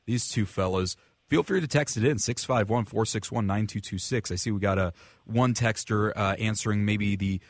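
A dry, echo-free recording of an American man with a lowish voice. He co-hosts sports talk radio casually, in a joking and bantering way. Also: normal speed.

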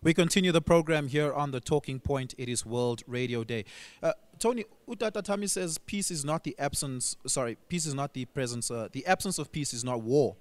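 A man's voice: mid-range voice, expressive, a little fast. A man hosting a live radio discussion, asking probing, reflective questions that he builds up in lists. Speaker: radio talk show host.

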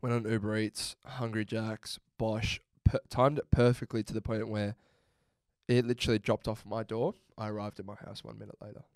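A man's voice: lowish voice, flat delivery, a little fast, a little mellow, dry recording, clean studio sound. A young man, co-hosting a podcast in a laid-back, swearing, joking way. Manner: laid-back, swearing, laughs at his own jokes. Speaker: podcast co-host. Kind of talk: casual chat.

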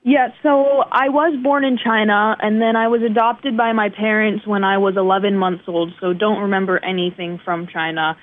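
A young woman from the northeastern United States, a guest racing driver answering in casual interview talk, relaxed and modest with many fillers. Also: medium pitch, moderate pace, hardly any pauses, dry recording. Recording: tinny phone-like line.

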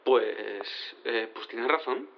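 An actor playing an awkward but crafty defence lawyer in a comic audio drama, hesitant and stumbling. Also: male voice, mid-range voice, drawn-out words, gravelly, dull audio, slight background noise.

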